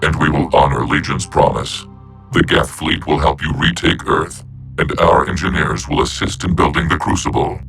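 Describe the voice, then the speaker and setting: Very deep gravelly machine envoy. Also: male voice, fairly even intonation, normal speed, fairly bright tone, faint music behind, heavily acted. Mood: solemn, formal. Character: solemn synthetic machine envoy, formal and measured.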